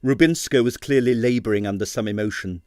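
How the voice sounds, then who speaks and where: A man reading scripted literary narration as an audiobook storyteller, in an expressive manner. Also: medium pitch, fairly quick, no room sound, studio quality.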